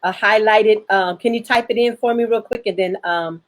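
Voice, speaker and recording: A woman's voice: mid-range voice, fairly quick. A woman hosting a casual online panel chat, speaking frankly and jokingly as a filmmaker. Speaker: host, filmmaker.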